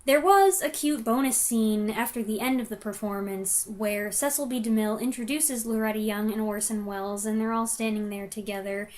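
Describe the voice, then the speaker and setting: A woman's voice: fairly high pitch, moderate pace, few pauses. A woman giving a conversational review, opinionated and given to wry asides.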